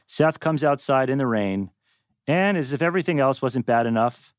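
A man's voice: mid-range voice, animated, moderate pace, no echo, studio quality. A man narrating scene headings and action as the stage directions reader at a live script table read.

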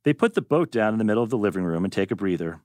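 A man's voice: on the low side, expressive, very fast, a little mellow, no echo, clean studio sound. A man reading stage directions as narrator at a script table read.

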